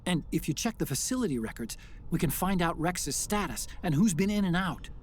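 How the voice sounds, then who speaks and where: Higher-voiced, teasing American tech sidekick. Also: male voice, lively intonation, a little fast, a little hiss or hum. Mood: helpful, explaining. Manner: chatty, helpful, lightly teasing. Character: friendly, teasing younger male tech-expert sidekick. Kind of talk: video game voice acting.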